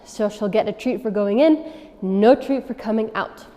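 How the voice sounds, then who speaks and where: A woman dog trainer calmly explaining and demonstrating commands step by step. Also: mid-range voice, moderate pace. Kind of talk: instructional demonstration. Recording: long reverb from a large room.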